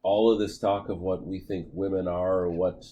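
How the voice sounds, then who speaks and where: Deep-voiced middle-aged man rambling casually. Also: little pitch movement, mellow, highs cut off, slight background noise. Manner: rambling, halting, many fillers and restarts. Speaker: podcast co-host. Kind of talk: casual chat.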